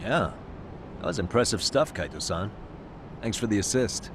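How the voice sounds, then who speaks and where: An actor playing a cool-headed, wry private detective in an English video game dub, speaking in a casual, understated way. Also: male voice, on the low side, lively intonation, moderate pace, noisy background.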